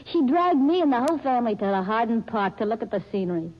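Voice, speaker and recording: A woman's voice: a little high, very animated, fast. An actress playing a brash, chatty young working-class woman in a 1940s radio drama, slangy and wisecracking. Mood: breezy. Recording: dull old radio recording.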